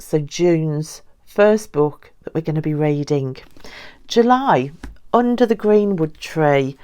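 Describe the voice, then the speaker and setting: A woman's voice: fairly low pitch, a little mellow. A woman talking casually and enthusiastically to viewers as a vlogger, gushing over the things she shows. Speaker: book vlogger. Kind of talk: casual book haul chat to viewers.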